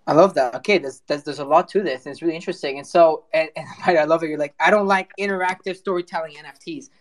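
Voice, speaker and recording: Casual host, medium pitch, repeats words while thinking, jokey and informal. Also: quick pace. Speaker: host, interviewer. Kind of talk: casual chat.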